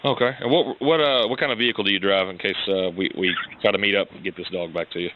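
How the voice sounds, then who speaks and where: A man working as a police detective, informally questioning someone on a call in a halting, probing way. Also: low voice, dull audio.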